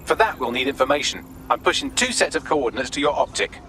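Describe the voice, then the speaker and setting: A man's voice: medium pitch, expressive, fast talker, vocal fry, noisy background. A man voicing a sarcastic, cheeky British AI assistant in a video game, wordy and dryly mocking. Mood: dry, businesslike. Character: sarcastic, cheeky male artificial intelligence assistant.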